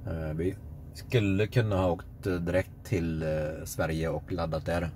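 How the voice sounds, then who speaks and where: A Swedish man narrating a casual road-trip vlog, relaxed and hesitant with many fillers. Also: deep voice. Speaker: vlogger on a car road trip. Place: electric car on the road and at charging stops. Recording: clear car and road noise.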